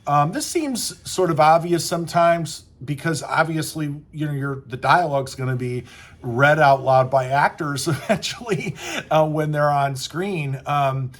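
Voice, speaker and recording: Man, slightly high voice, casual advice talk, conversational coach, animated quoted asides. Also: unhurried. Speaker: screenwriting coach.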